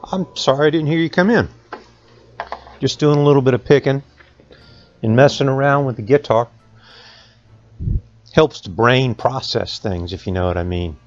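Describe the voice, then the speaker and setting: A man with a Southern Appalachian American accent telling stories to children in a folksy, conversational way with dramatic pauses. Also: medium pitch, no room sound, lo-fi sound, soft background music. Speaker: school resource officer reading folk tales to students.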